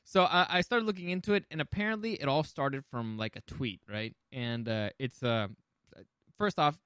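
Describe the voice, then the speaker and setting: A man hosting a podcast in a casual, comedic style, excitable, jokey and free with swearing. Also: medium pitch, normal speed, clear, resonant tone, dry recording. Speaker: podcast host.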